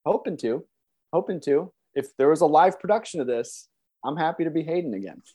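A man's voice: fairly high pitch, a little fast, breathy edge. A man who works as a voice actor, chatting casually and enthusiastically as an interview guest. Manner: enthusiastic, animated self-interruptions.

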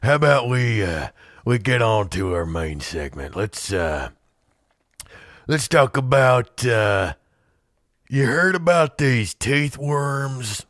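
A man playing a folksy, dim Old West tooth-puller hosting a comedy show, rambling and halting with an American accent. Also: medium pitch, animated, fairly bright tone, no room sound, clean studio sound. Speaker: host. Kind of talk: improvised comedy role-play.

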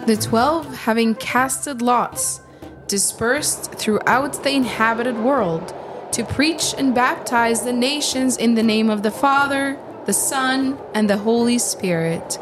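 A woman's voice: a little high, slow, over music, low-quality recording. A woman narrating a scripted religious story in a storytelling style.

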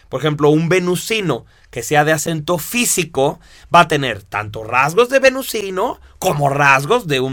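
A man's voice: on the high side, no room sound, studio quality. Upbeat man teaching a class. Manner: explanatory, lively, with rhetorical questions. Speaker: instructor. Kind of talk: lecture to students.

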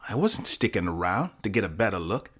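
A man's voice: on the low side, very animated, brisk, fairly bright tone, breathy edge, heavily acted. A man voicing a defiant, streetwise fifteen-year-old boy who talks in insistent slang in an audio crime drama. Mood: defensive.

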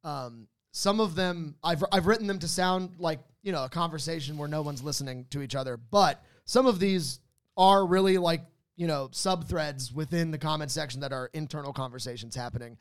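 A man's voice: fairly high pitch, normal speed, no echo. A man hosting a podcast in casual banter, rambling, jokey and a bit profane. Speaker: podcast host. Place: recorded at a theater company's space.

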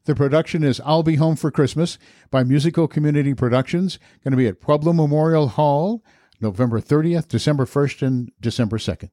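A man's voice: on the high side, fairly quick, dark tone, no echo, studio quality. An older man hosting a podcast, speaking in a conversational interview style. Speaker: podcast host.

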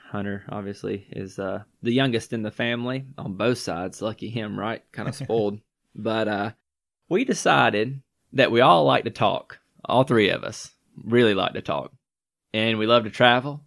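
A young man in his late twenties from Northwest Georgia tells stories on a casual podcast. His voice is low, and he speaks earnestly with some fillers. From Northwest Georgia, USA. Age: 20s. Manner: earnest, storytelling. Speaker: podcast co-host.

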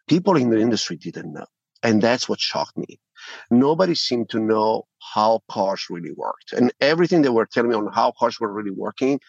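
A man's voice: lowish voice, no echo, muffled recording. A middle-aged man with an Italian accent, a podcast guest talking animatedly and often repeating words as he restarts. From Italy. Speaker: podcast guest, company founder. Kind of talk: interview conversation.

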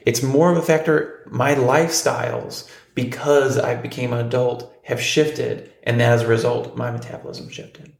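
A man's voice: mid-range voice, normal speed, gravelly, few pauses, echoey room. A man in his forties chatting casually on a podcast, telling stories with lots of ums. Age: 40s. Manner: relaxed, storytelling, frequent ums. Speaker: podcast co-host.

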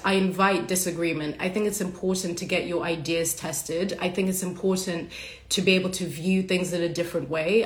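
A woman hosting a casual livestream monologue, hoarse and raspy from a cold, talking passionately and bluntly with casual swearing. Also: on the low side, echoey room. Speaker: podcast host.

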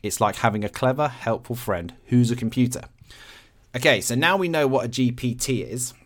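A man's voice: mid-range voice, moderate pace. A man hosting a podcast, talking casually and explaining things in simple, everyday terms. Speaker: podcast host.

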